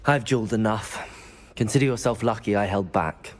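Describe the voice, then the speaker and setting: A man's voice: fairly low pitch, normal speed, a little hiss or hum, natural voice. A man voicing a composed, confident new wizard student who speaks politely and understatedly.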